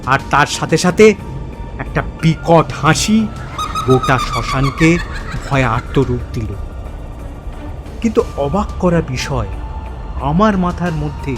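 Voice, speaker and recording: A man acts a bewildered male narrator telling his own horror story. His slightly high voice moves slowly and haltingly, theatrical and full of suspense. Faint music runs beneath him. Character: bewildered man haunted by forgotten childhood memories. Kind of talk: dramatic first-person horror story narration.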